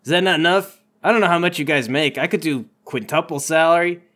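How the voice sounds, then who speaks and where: Man playing a breezy, bright-voiced rich dude. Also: on the high side, fairly quick. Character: laid-back rich young dude, casually generous. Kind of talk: improvised comedic roleplay.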